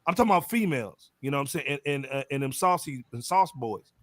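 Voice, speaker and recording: An American man hosting a casual music podcast, talking in an animated, slangy, emphatic way. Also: medium pitch, quick pace, dry recording. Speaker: podcast host. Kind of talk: casual music panel chat.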